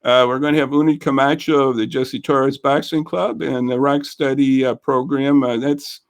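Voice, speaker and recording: A man's voice: mid-range voice, fairly even intonation, normal speed. A man hosting a casual local interview show over a video call, talking in a folksy, chatty way. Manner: folksy, chatty, warm thanks. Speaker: host.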